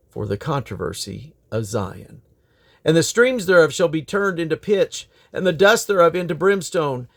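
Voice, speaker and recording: A man reading scripture aloud in a solemn, declamatory manner. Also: fairly high pitch. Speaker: Bible reader.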